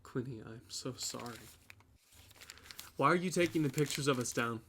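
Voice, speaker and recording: A man acting in an audio drama as a guilty young boyfriend, halting and defensive as he pleads. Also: mid-range voice, bright, forceful tone, a little hiss or hum. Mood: pleading.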